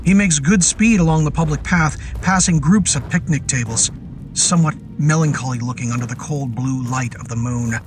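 A man narrating a scripted horror story in a deliberate, literary storytelling manner. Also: a little high, background noise. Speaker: narrator and performer.

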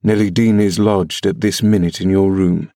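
A man's voice: low-pitched, steady tone, moderate pace, mellow, dry recording, clean studio sound. A man voicing a cold, scheming master of the house who gives curt orders. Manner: curt, commanding.

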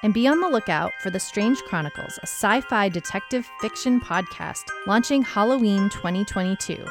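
A woman's voice: medium pitch, animated, normal speed, over music. A woman co-hosting a podcast, explaining things in a conversational, encouraging way. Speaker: podcast co-host.

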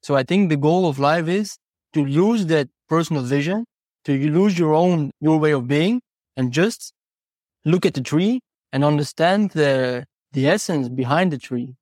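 A man's voice: a little high, unhurried, a little mellow, dry recording, studio quality. A man who is not a native English speaker, talking reflectively and earnestly as an interview guest, explaining ideas through personal stories and rhetorical questions. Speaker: horse trainer and podcast guest.

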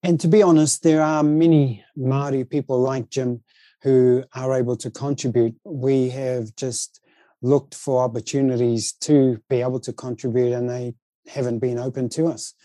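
A man with a New Zealand accent talks as an interview guest in a considered, conversational style. His voice is soft-edged and very dark in tone, at an average pitch. The sound is close and dry. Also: steady tone, normal speed, dry recording. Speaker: interview guest, board chair and speaker.

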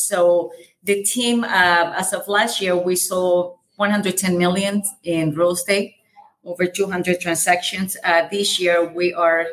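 A woman from El Salvador speaks English with a light Salvadoran Spanish accent, her first language being Spanish. Her voice sits a little low, and she talks in energetic bursts with fillers. She is a guest on a casual podcast. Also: poor audio. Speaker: guest, real estate brokerage owner.

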